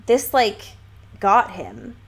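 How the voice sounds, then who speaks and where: A woman's voice: medium pitch, wildly swooping pitch, extremely slow, breathy edge. A woman co-hosting a podcast, discussing a book casually and analytically with emphatic, lightly profane phrasing. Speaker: podcast co-host.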